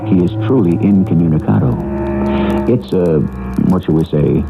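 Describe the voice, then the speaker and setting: Deep-voiced, wry male radio host. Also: moderate pace, creaky, loud music behind the voice. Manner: wry, theatrical. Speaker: radio drama host and narrator. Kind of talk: scripted dramatic narration. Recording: muffled vintage radio broadcast.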